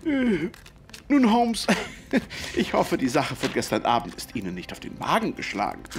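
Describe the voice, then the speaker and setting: A genial, fussy doctor speaks and narrates in an audio drama. His voice is high and very animated, with a hesitant, polite manner. There is clear noise behind him. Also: normal speed.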